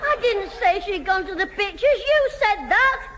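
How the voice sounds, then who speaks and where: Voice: very high voice, animated, a little slow, pushed and bright, full character voice. An adult performer playing a cheeky, scheming Northern English schoolboy in a British radio sitcom from 1970, mock-innocent and full of smart answers. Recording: noisy old radio recording, echoey hall, audience.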